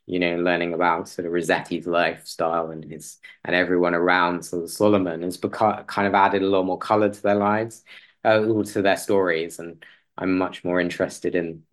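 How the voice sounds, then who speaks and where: Man, deep pitch, casual interview guest, informal, tentative phrasing. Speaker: podcast guest, playwright. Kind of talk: casual chat.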